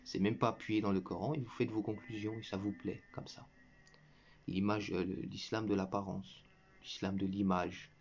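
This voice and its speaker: Scornful low-voiced man, muffled recording. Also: flat delivery, normal speed, gravelly, slight background noise. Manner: scornful, lecturing, addresses listener directly as "tu"; bursts into mocking laughter. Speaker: online religious commentator. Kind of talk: combative reaction monologue to viewers.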